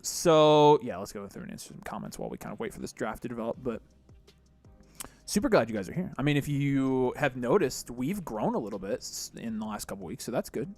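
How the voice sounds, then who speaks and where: A young man hosting a casual fantasy football live stream from a small studio, talking into a boom mic and reading viewer chat. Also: big pitch swings, a little mellow, soft background music. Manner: quick, chatty, reads chat aloud. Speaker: fantasy football podcast host. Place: small studio with neon lights. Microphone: boom mic on arm.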